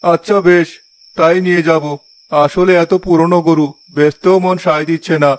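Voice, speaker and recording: A man's voice: a little high, flat delivery, normal speed, lightly acted. A man playing a poor, weary old village farmer and father in a cartoon, plaintive and resigned. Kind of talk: cartoon fairy tale dialogue.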